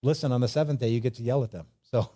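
A man's voice: mid-range voice, rapid-fire, warm tone. A man preaching a conversational Bible sermon, informally acting out imagined replies and repeating phrases for emphasis. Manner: rhetorical questions and repetition for emphasis.

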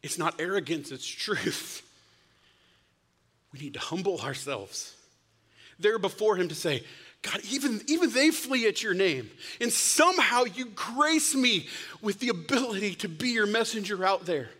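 Male preacher, brightish higher voice, storyteller who swings loud to whisper; long hall reverb. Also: animated, measured pace. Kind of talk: sermon.

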